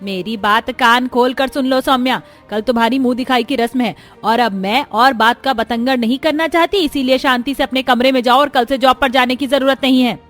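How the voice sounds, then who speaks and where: Lady doing bossy indian cartoon mother-in-law, high pitched, telling people off, music underneath. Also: brisk, hardly any pauses, a bit bright. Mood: angry scolding. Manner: scolding, commanding. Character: stern middle-aged mother-in-law, bossy and scolding. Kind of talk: Hindi cartoon family story acting. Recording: music behind the voice.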